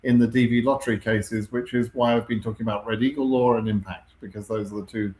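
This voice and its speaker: A man's voice: lowish voice, steady tone, fast, darkish tone, roomy sound, low-quality recording. A man with a British accent hosting a live question-and-answer stream, talking conversationally with frequent ums and self-corrections. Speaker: host answering viewer questions.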